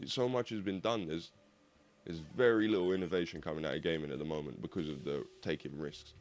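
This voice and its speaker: A young man with a slightly croaky voice, rambling casually and swearing as he hosts a gaming video. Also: deep voice, brisk. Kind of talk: rambling casual monologue over gameplay.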